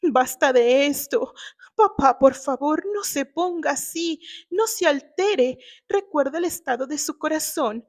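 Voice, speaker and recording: A woman plays a grown daughter in an audio novel. She sounds worried and gentle, imploring in a high, placating voice. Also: unhurried.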